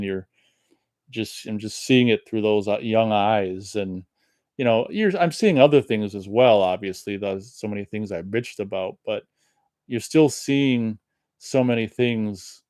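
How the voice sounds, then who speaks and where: A man in his thirties giving a rambling, reflective solo movie commentary, his voice a little raspy from long talking. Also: fairly low pitch, no echo, studio quality. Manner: rambling, reflective, many restarts.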